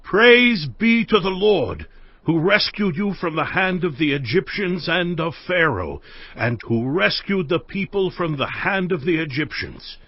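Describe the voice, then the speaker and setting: Fatherly elder priest, declamatory, audio Bible drama, lively high-ish voice, dull recording. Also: measured pace, dry recording. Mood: joyful praise. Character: Jethro, fatherly older priest, concerned father-in-law.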